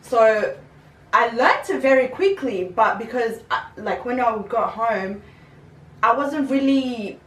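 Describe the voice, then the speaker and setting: A woman's voice: fairly high pitch, expressive, slow, a bit bright. Chatty young woman in a roomy space. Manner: chatty, laughs often. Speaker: vlogger. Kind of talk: casual chat. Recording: roomy, distant mic, faint noise.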